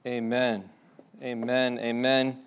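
Smooth-voiced pastor, relaxed and halting. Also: male voice, mid-range voice. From Ireland. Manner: conversational, many restarts and fillers. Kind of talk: sermon. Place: church sanctuary.

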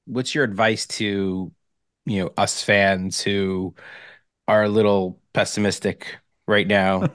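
Flat-toned man interviewing, dry sound. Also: fairly low pitch, slow, dry recording. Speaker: podcast interviewer. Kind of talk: casual interview questions.

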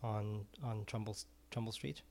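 A man's voice: on the low side, steady tone, moderate pace, creaky, a little mellow. A middle-aged man from Israel, an ice cream vendor and former music teacher, talking earnestly in an interview and often repeating words as he searches for phrasing. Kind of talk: casual interview talk.

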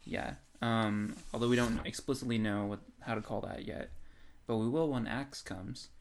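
Mid-pitched, casual podcast co-host. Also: steady tone, moderate pace, a little hiss or hum. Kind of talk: casual book discussion.

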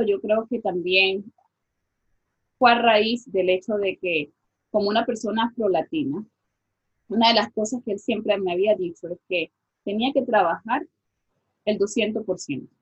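A woman from Venezuela with a Venezuelan accent, a guest scientist talking casually in an interview and pausing with fillers. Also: medium pitch, breathy edge, lo-fi sound. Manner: reflective, with many fillers like "este" and "o sea".

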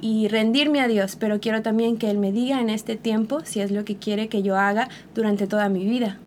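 A woman's voice: mid-range voice, steady tone, moderate pace, a little mellow, a little hiss or hum. A woman from Chiapas, sharing an earnest, reflective personal testimony as a missionary guest. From southern Mexico, Chiapas.

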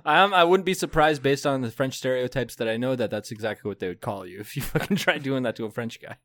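A man's voice: mid-range voice, quick pace, fairly bright tone, dry recording. A young man co-hosting a comedy podcast, teasing his friend and laughing often. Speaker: podcast co-host. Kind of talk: casual comedic chat.

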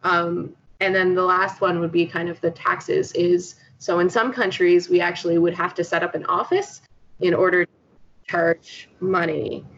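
A woman's voice: lowish voice, little pitch movement, normal speed. A woman with a Canadian accent speaking as a guest in a casual interview, chatty and explanatory. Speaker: interview guest, startup founder. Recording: dull lo-fi audio with clear background noise.

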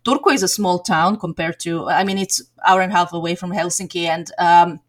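A woman's voice: on the low side, steady tone, brisk. A middle-aged woman originally from Serbia, answering in a casual interview as a game community organizer, talking in a chatty way with many fillers and restarts.

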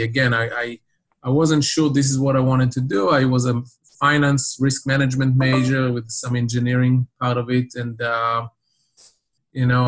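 A middle-aged man from Israel, a college volleyball coach, talking reflectively in an interview with many fillers and restarts. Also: medium pitch.

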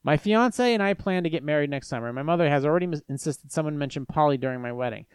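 A young man with a slightly high, smooth voice, recorded close and dry. He reads advice letters aloud and then answers them bluntly and irreverently, cursing freely and restarting his sentences. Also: fast talker, no room sound. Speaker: advice show host.

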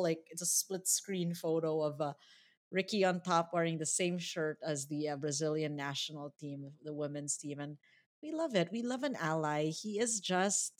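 A woman co-hosting a podcast, chatting casually in a gushing way with lots of fillers. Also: fairly low pitch. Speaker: podcast co-host. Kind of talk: casual chat.

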